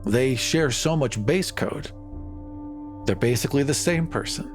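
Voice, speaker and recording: A man's voice: medium pitch, measured pace, faint music behind. A man playing a tabletop role-playing game, casually improvising narration of what his character does.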